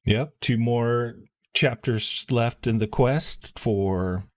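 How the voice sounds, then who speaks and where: A man's voice: mid-range voice, extremely slow, dry recording, clean studio sound. A man casually commentating on a game live stream and reading dialogue aloud in an offhand, slangy way.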